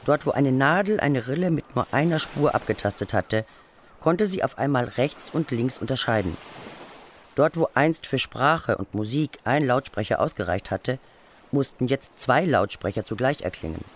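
Man, scripted radio feature narration, animated pitch, slight background noise. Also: normal speed.

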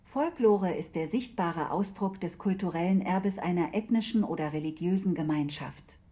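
Female actor as a smart-home assistant: mellow tone, neutral, measured, emotionless, precise radio-play answers. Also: lowish voice, natural voice. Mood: neutral, polite.

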